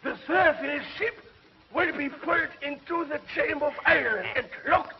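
A man's voice: extremely high pitch, moderate pace, vocal fry. An actor playing a servile, scheming underworld henchman in a 1950s TV sci-fi serial, reporting obsequiously to his master. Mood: gleeful, dutiful. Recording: muffled old TV soundtrack.

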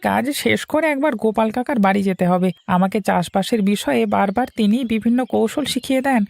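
Woman voicing a worried, creaky village farmer man. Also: on the high side, fast, darkish tone, no room sound. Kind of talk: cartoon story dialogue. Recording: background music bed.

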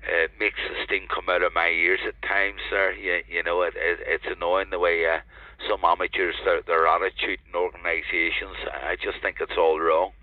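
Deep-voiced older Northern Irish man on radio. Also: flat delivery, moderate pace, dry recording. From Northern Ireland. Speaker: amateur radio operator. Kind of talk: casual radio chat. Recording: dull lo-fi radio network transmission.

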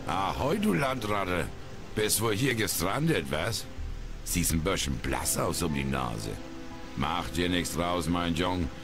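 Old retired sailor, game role. Very low, animated, folksy grumbler, over music. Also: male voice, slow, exaggerated voice. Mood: hearty, teasing. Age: older. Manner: folksy, grumbling seaman's talk. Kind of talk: video game character dialogue. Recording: music under the voice.

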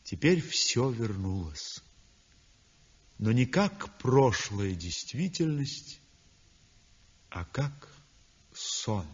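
An actor playing a weak, convalescent young male painter who narrates his story in a 1960s radio drama, speaking softly and breathily. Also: mid-range voice, big pitch swings, extremely slow, pauses often, husky, heavily acted. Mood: reflective. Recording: dull old radio recording with long reverb.

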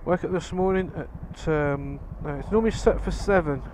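Grumbling biker on the road. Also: male voice, fairly high pitch, moderate pace, darkish tone. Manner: grumbling, chatty, sighs and swears at traffic. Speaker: motorcycle vlogger. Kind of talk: casual rambling chat while riding. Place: outdoors on a motorbike in road traffic. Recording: wind and engine noise from riding. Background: traffic and engine noise.

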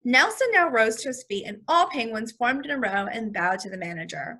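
A woman's voice: a little high, expressive, a little fast, sharp tone. A woman, a first grade teacher, reading a storybook aloud expressively and explaining things simply for children.

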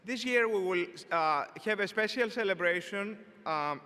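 An older man, a dean, giving a formal commencement address from a podium, speaking in a measured, deliberate way with the odd filler word. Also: high-pitched, lively intonation, bright, forceful tone. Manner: measured, deliberate delivery with occasional filler words. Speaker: engineering school dean. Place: large arena stage at a podium. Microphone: podium microphone. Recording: long reverb of a large arena.

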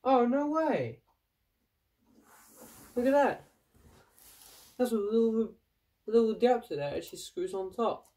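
A man's voice: extremely high pitch, expressive, unhurried, pauses often, dark tone, far from the mic. A young man with a stuffy nose from a cold, chatting in a rambling way as he unboxes old gear in a cluttered room. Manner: chatty, rambling, frequent fillers. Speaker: vlogger. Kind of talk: casual unboxing vlog.

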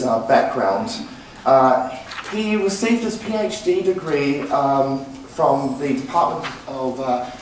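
A man's voice: mid-range voice, slight background noise. A middle-aged man with a New Zealand accent introduces a speaker at a conference podium, talking earnestly with many "uh" fillers. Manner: frequent "uh" fillers, earnest and plain. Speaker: conference session chair. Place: conference room, indoors. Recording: very echoey room, picked up from a distance.

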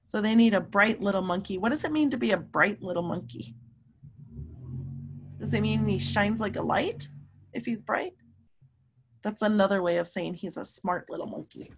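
A middle-aged woman, a teacher, reads a children's story aloud expressively and emphatically, pausing to question and explain. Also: mid-range voice, creaky, noisy background. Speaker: teacher reading aloud to students. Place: small room at home.

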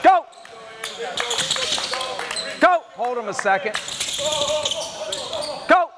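High-pitched male coach barking drill commands. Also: very animated, very slow. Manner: loud, terse, commanding shouts. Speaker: basketball coach. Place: large indoor gymnasium, practice before onlookers. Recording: noisy gym with bouncing balls. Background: bouncing basketballs and gym noise.

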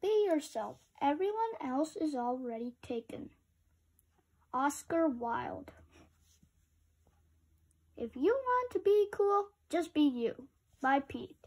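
A woman's voice: sits high, sing-song, takes it slowly, long pauses, darkish tone. A woman reading a picture book aloud, adding informal, chatty, childlike asides to young listeners. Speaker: read-aloud host.

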